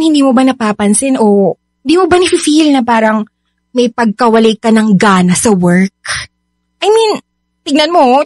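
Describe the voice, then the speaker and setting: A woman's voice: sits high, no room sound. A woman with a Filipino accent playing a blunt, sassy, protective young office friend in a radio drama, emphatic and slangy. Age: young adult. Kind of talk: radio drama acting in Taglish.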